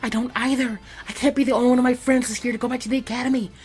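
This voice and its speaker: A man's voice: very high-pitched, flat delivery, very fast, voice pushed up. A man voicing a feisty, bossy and anxious teenage ninja girl with emphatic drama in a story reading. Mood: panicked. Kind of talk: animated fan fiction character reading. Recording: music behind the voice.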